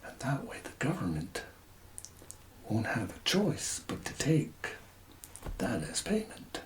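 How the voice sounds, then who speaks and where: A man doing a soft-spoken ASMR roleplay as a tax collector, hesitant and conspiratorial, often dropping into whispers. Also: medium pitch, huge pitch swings, takes it slowly, slight background noise.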